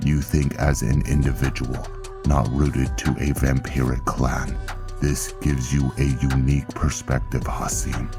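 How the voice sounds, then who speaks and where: A man voicing a solemn, commanding vampire blood knight elder in a fantasy roleplay, with a gravelly, grave, measured and formal delivery. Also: extremely low pitch, little pitch movement, music in the background. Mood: grave, persuasive. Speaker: character narrator in a roleplay story. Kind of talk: dramatic scripted fantasy roleplay.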